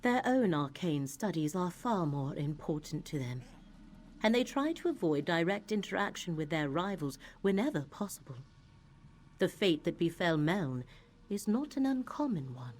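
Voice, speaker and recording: Low-pitched, measured female fantasy scholar. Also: big pitch swings, darkish tone, slight background noise. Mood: informative. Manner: measured, formal, explanatory. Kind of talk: scripted fantasy video game dialogue.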